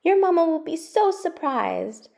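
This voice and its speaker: A woman acts out a kindly storybook dad. The voice is lifted high and bright-spirited, reassuring and encouraging in a read-aloud style. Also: big pitch swings, unhurried, vocal fry, exaggerated voice. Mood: impressed. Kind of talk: children's storybook character voice.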